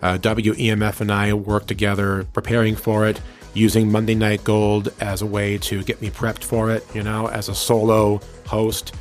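A man with a fairly low voice talks like a radio host, conversational and a little showy. Faint music plays behind him. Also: monotone, moderate pace. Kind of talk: conversational radio interview and reminiscence.

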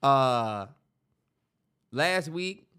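A man's voice: mid-range voice, expressive, drawn-out words, bright, forceful tone, clear, resonant tone. A man co-hosting a podcast, talking late at night, tired, laid-back and teasing in casual banter. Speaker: podcast co-host.

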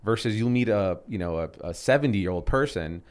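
Man, thirties, lowish voice, casual co-host who rambles and restarts his sentences. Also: animated, a little fast. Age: 30s. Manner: rambling, many restarts and fillers. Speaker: podcast co-host. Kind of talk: casual chat.

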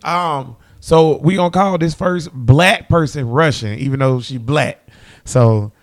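A man, hosting a podcast and teasing his guests with loud laughter. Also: a little high, measured pace. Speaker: podcast host. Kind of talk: casual podcast banter.

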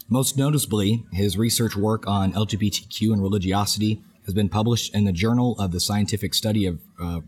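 A man hosting a podcast interview with a raspy voice from a head cold, joking lightly and asking questions with many fillers. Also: fairly low pitch, a little mellow. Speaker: podcast host, professor.

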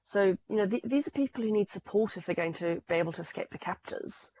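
A woman's voice: mid-range voice, fast, warm tone, highs cut off. Zimbabwean woman explaining in earnest. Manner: earnest, fact-heavy, frequent restarts. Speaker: podcast guest, fraud specialist. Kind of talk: explanatory interview talk.

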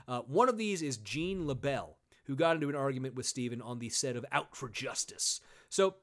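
Male podcast host, fairly high and very animated delivery, sarcastic and profane. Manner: sarcastic, swears, many fillers. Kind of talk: casual comedic podcast chat.

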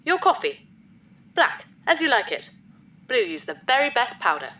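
A woman's voice: a little high, big pitch swings, normal speed, sharp tone. A woman playing an eager, fawning spaceship computer with a theatrical, childlike manner in a sci-fi audio drama. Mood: mock offended. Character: eager, flattering, childlike spaceship computer, speaks in third person.

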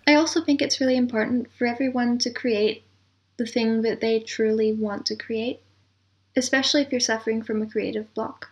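A woman's voice: on the high side, fairly even intonation, normal speed. A woman speaking as a guest in a conversational interview, explaining her views in a reflective way. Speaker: podcast guest, composer and audio producer.

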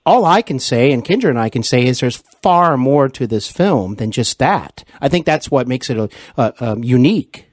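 A man hosts an interview in a conversational style, with a medium-pitched voice. He is polite and complimentary, feeling his way through his phrases. The sound is very dry, with no audible room. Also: expressive, normal speed, few pauses, no room sound. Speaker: interview host.

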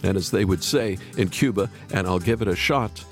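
A man hosting a ministry podcast, introducing his guest with an expressive, preacher-like delivery. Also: low voice, moderate pace. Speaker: podcast host. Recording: light guitar music underneath. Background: soft guitar music.

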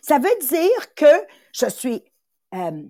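A woman's voice: sits high, drawn-out words, dry recording, studio quality. A woman around sixty with a Quebec French accent, born in Italy, hosting a motivational talk in an emphatic, repetitive way. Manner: animated and emphatic, repeats phrases, acts out little voices. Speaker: podcast host and sales coach.

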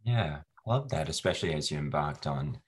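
A man with a very deep, husky voice leads an interview, asking questions and backing up his guest's answers. His tone is encouraging and conversational. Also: expressive, moderate pace. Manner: encouraging, affirming with short yeahs. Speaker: interview host.

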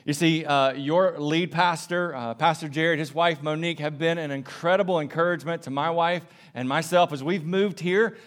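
A man with a Southern American accent from South Carolina, preaching to a congregation. His voice sits a little higher than most men's. He talks in an energetic, chatty way and pokes fun at himself. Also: normal speed, hardly any pauses. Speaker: pastor. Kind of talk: sermon.

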